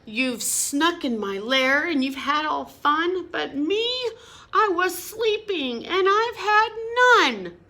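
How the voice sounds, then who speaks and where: Lady playing a big sulky bear, whiny and high. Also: expressive, slow pace, sharp tone, exaggerated voice. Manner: whiny, complaining.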